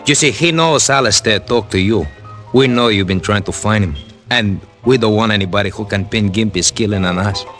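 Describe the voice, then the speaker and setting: A man's voice: lowish voice, a little fast, fairly bright tone, faint music behind, lightly acted. A man acting a callous gangster henchman in an old radio crime drama, taunting and threatening in clipped tough-guy talk. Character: menacing, callous male gangster henchman holding a gun.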